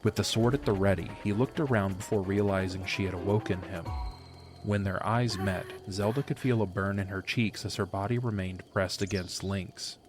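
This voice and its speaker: Male narrator for fantasy audio drama, fairly low voice, storybook delivery over music. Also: steady tone, normal speed.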